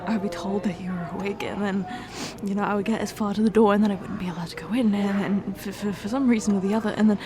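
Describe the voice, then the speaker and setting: A woman voicing a distraught young fiancée in an audio roleplay, talking haltingly with stammers and repeated words in a shaky, tearful voice. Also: mid-range voice, fairly even intonation, natural voice. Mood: tearful relief. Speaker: ASMR roleplay performer.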